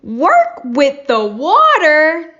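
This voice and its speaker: A woman voicing an earnest schoolchild in a classroom play, with exaggerated, clearly enunciated delivery. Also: sits high, huge pitch swings, very slow, sharp tone, pitched above the performer's own voice, full character voice. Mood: puzzled. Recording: slightly dull lo-fi recording.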